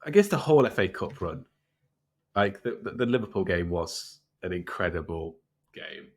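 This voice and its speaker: A low-voiced man chats as co-host of a football podcast. He sounds stuffed up and nasal, and talks in a laid-back, self-deprecating way. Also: big pitch swings, normal speed, breathy edge. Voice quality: nasal, congested. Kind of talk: casual chat on a football podcast.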